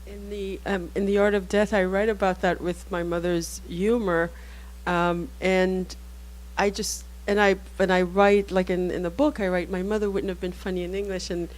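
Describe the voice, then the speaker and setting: A woman with a low, level voice and Haitian Creole as her first language talks about writing on a discussion panel. She thinks aloud, breaking off and restarting her sentences as she searches for words. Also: level tone, poor audio. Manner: thoughtful, halting, many restarts. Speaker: author.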